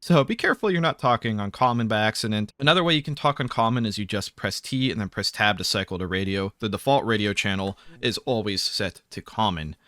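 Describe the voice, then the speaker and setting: Bright-voiced man narrating a game tutorial. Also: fairly low pitch, expressive, brisk, hardly any pauses, no echo. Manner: matter-of-fact, instructional. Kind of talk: informal explanatory tutorial narration.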